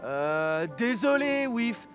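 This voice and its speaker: A man's voice: very high voice, sing-song, very slow, soft background music, heavily acted. A man voicing a scruffy, good-natured young engine in a children's cartoon, with a boyish, earnest manner. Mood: reluctant. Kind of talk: dubbed children's cartoon acting.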